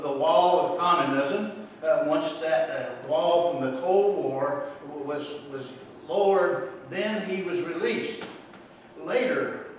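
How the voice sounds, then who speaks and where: A middle-aged man preaching a sermon in a church sanctuary, telling a story and swinging between hushed and loud delivery. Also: on the high side, slight background noise. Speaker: pastor. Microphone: room microphone, far from camera. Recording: roomy echo, distant mic.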